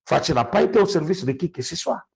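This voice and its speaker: A man with a Congolese accent and a husky voice, giving emphatic, unscripted political commentary and repeating phrases for stress. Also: fairly high pitch, animated, fast, a little mellow. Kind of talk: unscripted political commentary, switching between two languages.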